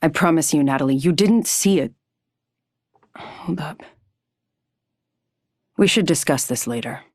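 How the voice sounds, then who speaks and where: A haunted fighter with a low voice and a terse, brooding manner. The recording is dry and close. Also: female voice, animated, measured pace, dry recording. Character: haunted, skeptical woman fighter.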